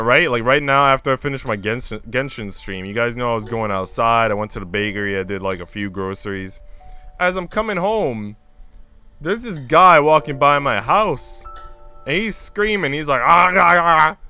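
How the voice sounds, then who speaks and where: A man hosts a casual reading stream with a bright, forceful voice. His pitch moves around a lot as he shifts between slangy chat and story narration. Soft music plays faintly behind him. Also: moderate pace. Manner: slangy, animated storytelling in asides. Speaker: streamer reading a visual novel aloud to viewers. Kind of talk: casual livestream chat mixed with read-aloud narration.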